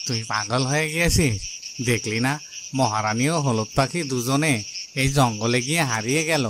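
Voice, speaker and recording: A man's voice: mid-range voice, normal speed, lightly acted. A man playing a wary, self-protecting royal guard who grumbles, in a fairy-tale cartoon. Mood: grumbling, fearful. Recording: noisy, cricket and insect sounds behind.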